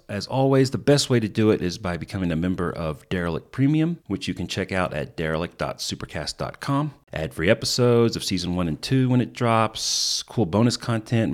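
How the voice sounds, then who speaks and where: Guy podcast host, really deep voice, casual intro chat.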